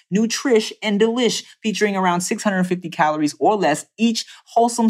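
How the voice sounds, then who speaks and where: A man hosting a podcast, reading sponsor ads in a loose, chatty way and tagging many lines with "okay?". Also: high voice. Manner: drops "okay?" after lines. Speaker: podcast host. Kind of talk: casual podcast talk with read ads.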